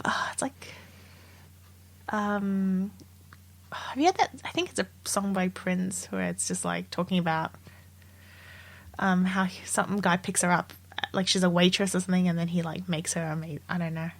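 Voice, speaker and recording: A woman's voice: mid-range voice, sing-song, moderate pace. Woman co-host, slight cold, loose rambling film chat, laughs a lot. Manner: chatty, rambling, laughs often. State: catching a cold. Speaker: podcast co-host.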